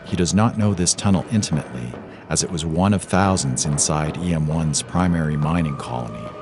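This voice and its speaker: A man's voice: rumbling low, a little fast, noisy background. A man giving dramatic scripted narration of a science fiction story. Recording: music clearly underneath. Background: music.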